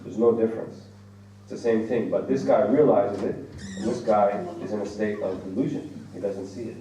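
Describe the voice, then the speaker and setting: American man, lecturer, low mellow voice, distant in a very echoey hall with faint hiss. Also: steady tone, normal speed. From United States. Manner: explains Arabic terms in plain English, vivid examples. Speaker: Islamic lecturer. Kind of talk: religious lecture with Q&A.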